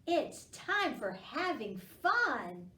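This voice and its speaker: A woman voicing small, excitable talking pumpkins from a nursery rhyme, with squeaky, exaggerated exclamations. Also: extremely slow, full character voice. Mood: excited. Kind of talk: children's nursery-rhyme character voices. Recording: roomy echo, distant microphone.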